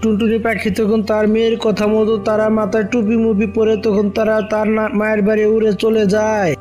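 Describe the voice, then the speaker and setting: A woman's voice: medium pitch, completely flat delivery, fairly quick, mellow. A woman narrating a scripted cartoon story for viewers. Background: music.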